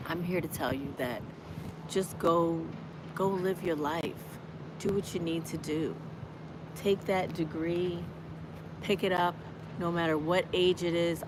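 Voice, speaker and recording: Hoarse woman in her 50s, motivational vlogger, preachy and chatty, phone mic outside with traffic noise. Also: fairly low pitch. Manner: encouraging, chatty, preacher-like exhortation. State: hoarse from a cold. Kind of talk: live-streamed walking vlog. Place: outdoors on a college campus. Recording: noisy outdoor street ambience.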